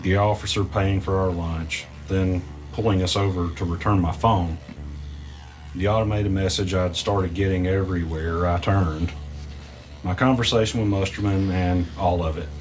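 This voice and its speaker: Low-pitched, anxious male host played by an actor, audio mystery drama, earnest and hesitant, faint music bed. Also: fairly even intonation, normal speed, lightly acted. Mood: measured, storytelling. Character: anxious male podcast host caught in a conspiracy. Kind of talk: audio drama, naturalistic dialogue and first-person narration.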